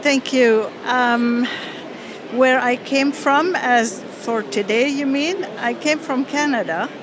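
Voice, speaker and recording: A woman from Lebanon telling her personal faith story in an interview, earnest and halting, with many pauses and fillers. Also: high voice. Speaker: interview guest. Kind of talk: personal testimony. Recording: outdoor street noise behind.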